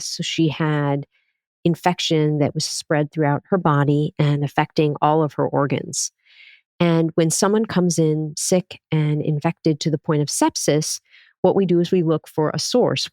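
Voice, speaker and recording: A woman's voice: deep voice, darkish tone, no echo. A woman doctor hosting a podcast who asks direct, earnest questions and tells plain stories from her training. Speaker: physician podcast host. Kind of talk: podcast interview questions and storytelling.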